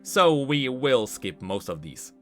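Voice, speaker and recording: A man's voice: lowish voice, sing-song, measured pace, bright, forceful tone. A man hosting an explanatory video game lore commentary, speaking in an emphatic way. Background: faint background music.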